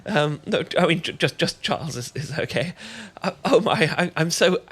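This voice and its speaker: A man with an English accent playing a charming, shy young gentleman vampire in an ASMR romance roleplay, polite and old-fashioned, often stumbling over his words. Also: a little high, expressive, moderate pace, slightly rough. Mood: flustered, excited. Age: young adult.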